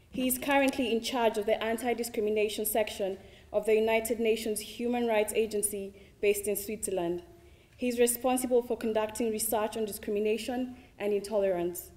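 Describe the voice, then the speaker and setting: A young woman from Kenya with a Kenyan accent, a student giving a formal, measured introduction of a lecture speaker. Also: a little high, warm tone. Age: young adult. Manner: measured, read from a script.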